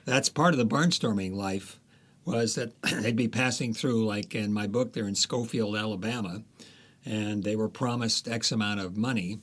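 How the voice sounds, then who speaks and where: A man, an author being interviewed, talking through history in a relaxed, unhurried way with frequent fillers and restarts. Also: on the low side. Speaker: author guest.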